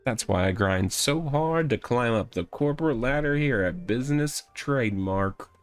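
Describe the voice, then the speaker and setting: A man voicing a rambling, oddly proud corporate mascot stuck in a cardboard box, halting and earnest, in a comedic visual novel read-aloud. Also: mid-range voice, animated, smooth, close to an everyday voice. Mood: upbeat, rambling. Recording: music behind the voice.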